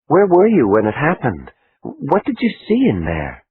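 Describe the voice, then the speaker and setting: A man's voice: mid-range voice, huge pitch swings, normal speed, breathy edge, no room sound, heavily acted. A man voicing an eager, pushy woman newspaper reporter in an audiobook. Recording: tinny phone-like sound.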